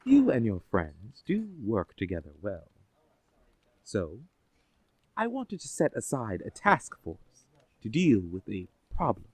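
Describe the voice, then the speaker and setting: Actor as a low, measured official, lively intonation. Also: male voice, breathy edge, no echo, full character voice. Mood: composed, businesslike. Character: composed official in charge of a superhero agency. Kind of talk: scripted audio drama acting.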